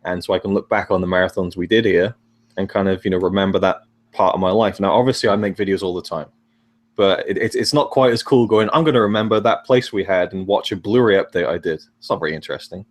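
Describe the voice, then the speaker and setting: Deep-voiced young man, hesitant guest. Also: quick pace. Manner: hesitant, repeats words. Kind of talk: casual live stream chat.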